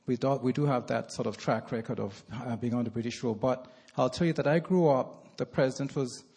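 A man's voice: medium pitch, fairly quick, darkish tone, husky. A man from Nigeria, raised partly in England, introducing himself on a church panel in a courteous, formal way.

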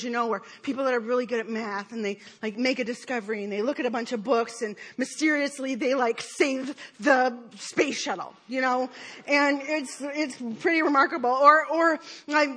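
A woman in her forties preaches in an easy, conversational way, acting out her quoted thoughts with playful self-mockery. Her voice sits high and sounds bright and clear. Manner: chatty and self-deprecating, with playful put-on quotes. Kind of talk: conversational sermon.

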